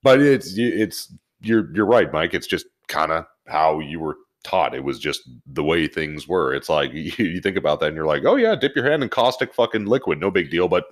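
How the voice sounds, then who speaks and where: A man's voice: sits low, lively intonation, normal speed, few pauses. A man co-hosting a casual podcast, joking around and using crude language as he banters. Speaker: podcast co-host.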